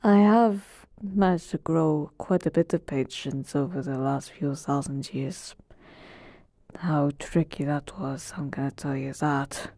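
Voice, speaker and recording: A woman's voice: deep voice, takes it slowly, mellow, heavily acted. A woman voicing an ancient genie of the lamp in a roleplay audio, weary yet playful, moving between grand servitude and casual sass. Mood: patient, musing. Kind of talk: roleplay audio acting addressed to the listener.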